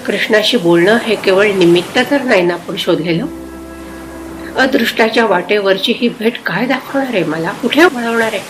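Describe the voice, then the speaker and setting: A woman's voice: mid-range voice, normal speed, over music, close to an everyday voice, rough recording. A woman voicing an ageing, wistful woman in a dramatic story, full of emotive questioning. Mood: troubled questioning. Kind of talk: dramatic literary story reading.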